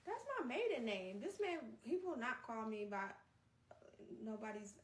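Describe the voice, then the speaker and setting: A woman hosting a read-aloud, moving between narration and casual, expressive, chatty asides. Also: on the high side, moderate pace. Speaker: host reading a book aloud on a live stream. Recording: roomy echo, distant microphone.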